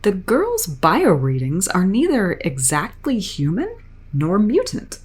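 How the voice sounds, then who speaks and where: An actress playing a cool, calculating villainous boss in an audio drama, mocking and commanding. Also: female voice, mid-range voice, huge pitch swings, slow, a little mellow, husky, exaggerated voice. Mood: cold mockery.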